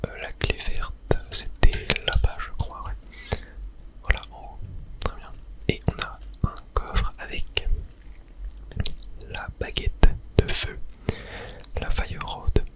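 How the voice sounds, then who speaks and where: A voice that stays in a soft, unvoiced whisper throughout. The delivery is calm and soothing, in the manner of an ASMR gaming host guiding listeners step by step through play. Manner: calm, soothing, narrating each step as it goes. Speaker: video game streamer, ASMR channel host. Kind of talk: whispered ASMR gameplay commentary.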